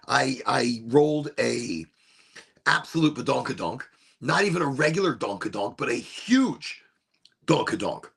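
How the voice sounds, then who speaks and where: A man hosting a casual livestream, hoarse from losing his voice, talking in an upbeat, rambling, repetitive way. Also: mid-range voice. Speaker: livestream host.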